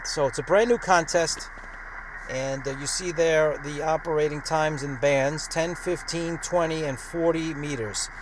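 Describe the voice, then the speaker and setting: Guy doing a ham radio vlog, voice a bit high, pretty noisy recording. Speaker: host of an amateur radio channel. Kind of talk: vlog narration with radio call-sign operating.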